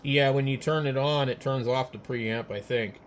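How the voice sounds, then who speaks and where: A man in a casual technical chat as a ham radio operator, speaking haltingly as he thinks aloud. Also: mid-range voice, slight background noise.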